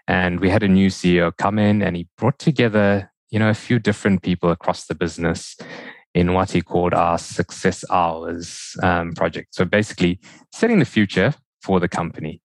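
A man's voice: low-pitched, moderate pace, dry recording, poor audio. A man from South Africa with a mixed South African and New Zealand accent, answering questions conversationally as a podcast guest. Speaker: podcast guest, data and analytics executive.

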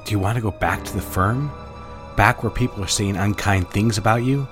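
A man's voice: on the low side, fairly quick, a bit bright, soft background music, natural voice. An actor playing a stern, condescending married man placating his mistress in an audio drama, with a controlling manner. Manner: patronizing, controlling.

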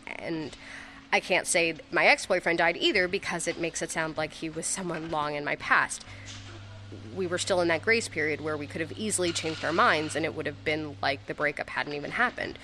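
A woman's voice: low-pitched, bright, forceful tone, a little hiss or hum, heavily acted. A young woman playing a flustered, grieving ex-girlfriend who stammers her way through a confessional memorial speech in an audio drama. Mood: sad, rueful. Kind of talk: audio drama monologue, naturalistic.

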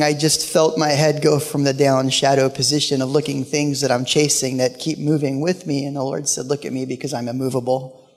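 Man preaching with a quiet, gravelly voice. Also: mid-range voice. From Portland, Oregon. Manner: storytelling, humorous asides. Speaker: guest pastor. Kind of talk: sermon. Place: church sanctuary. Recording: live church hall with long reverb.

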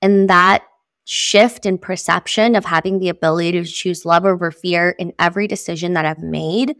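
A woman's voice: on the low side, fairly even intonation, moderate pace, a bit bright, no room sound, clean studio sound. A woman whose first language is Spanish, a sound healer speaking earnestly and reflectively as a podcast guest. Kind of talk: spiritual podcast conversation.

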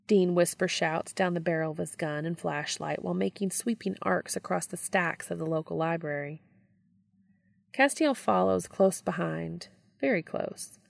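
Creaky lowish-voiced woman reading a story. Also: no echo, studio quality. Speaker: fan fiction narrator. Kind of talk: expressive storytelling narration.

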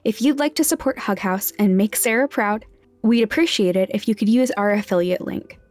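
A woman's voice: medium pitch, normal speed, a little mellow. A woman reading scripted sponsor messages and listener notices as a podcast announcer. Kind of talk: scripted sponsor read and content warning. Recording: music underneath. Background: background music.

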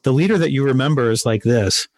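A man's voice: mid-range voice, fast talker, no echo. A man with a mid-range voice talks as a podcast guest, driving home his points with emphatic, motivational repetition. The recording is close and dry, with no room sound. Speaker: guest, sales author. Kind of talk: interview conversation.

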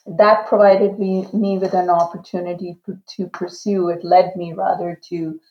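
A woman in her fifties who grew up in Iran and whose first language is Urdu answers in a slow, measured, reflective way. Her voice sits a little low. The sound is roomy and dull, like a remote call. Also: flat delivery. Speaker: guest, education professor. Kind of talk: interview answer.

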